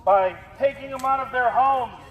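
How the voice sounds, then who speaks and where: An American man giving a confrontational protest speech through a bullhorn, halting mid-phrase as he challenges an official. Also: very high voice, narrow, tinny audio. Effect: bullhorn amplification. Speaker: protest activist challenging the governor. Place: outdoors at an official's doorstep. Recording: echoey, reverberant noisy outdoor bullhorn recording.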